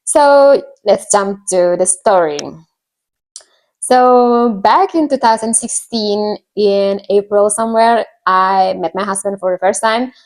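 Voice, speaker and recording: A woman's voice: medium pitch, takes it slowly, rough recording. A woman from Indonesia with an Indonesian accent tells a personal story to viewers casually, often searching for words and repeating herself. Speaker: vlogger.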